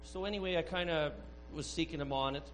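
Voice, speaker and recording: A man sharing a personal testimony at a church service, speaking earnestly and haltingly with many restarts. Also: a little high, a bit bright, soft background music. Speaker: church member giving a testimony. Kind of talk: rambling spoken testimony.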